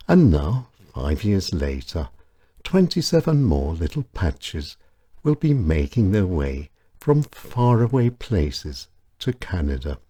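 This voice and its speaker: A man with a deep, low voice narrates short stories at an unhurried pace. His delivery rises and falls expressively, and his tone is mellow with a slightly husky edge. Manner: gentle, measured storytelling with lilting emphasis. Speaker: storyteller.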